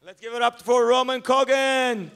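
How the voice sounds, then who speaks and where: A man's voice: extremely high pitch, steady tone, moderate pace, gravelly, a bit bright, clean tone. A man emceeing a gala, upbeat and joking as he hypes up the crowd and introduces people on a banquet hall stage. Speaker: master of ceremonies. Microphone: handheld microphone.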